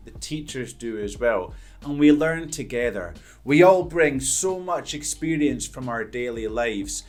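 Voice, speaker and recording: A Scottish man in his thirties, an English teacher, talking to camera at a studio mic in an upbeat, earnest, emphatic way. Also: medium pitch. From Scotland. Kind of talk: direct-to-camera talk answering questions. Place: indoors before a green-screen backdrop. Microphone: studio condenser mic in front.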